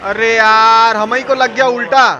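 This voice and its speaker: An Indian man with a Bihari Hindi accent giving excitable, slangy live game commentary and often repeating himself. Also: very high-pitched, unhurried, muffled recording. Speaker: game streamer. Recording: clear game noise behind voice. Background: horror game sound effects and ambience.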